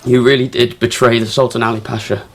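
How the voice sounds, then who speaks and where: A man's voice: medium pitch, little pitch movement, a little fast, natural voice. An actor in a radio drama playing an earnest young son, hurt and accusing as he confronts his father. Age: young adult.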